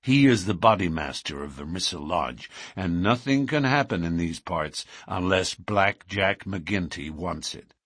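A friendly, easygoing working man, chatting and giving advice, as a male narrator puts him on. The voice is husky and moves up and down a lot, recorded dry and close. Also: sits low, lively intonation, unhurried, hardly any pauses, a bit bright, no room sound, heavily acted. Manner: easygoing, advising.

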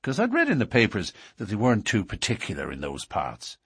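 A man voicing a bold, quick-tongued outlaw newcomer in an audiobook, self-assured and defiant in a matter-of-fact way. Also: fairly low pitch, wildly swooping pitch, husky, no room sound, studio quality, full character voice. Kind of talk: audiobook character dialogue.

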